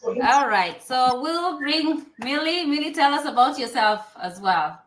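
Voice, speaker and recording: A woman's voice: high voice, lively intonation, measured pace, roomy sound. A woman hosting a live stream, chatting casually and giving advice, with a laugh and many fillers.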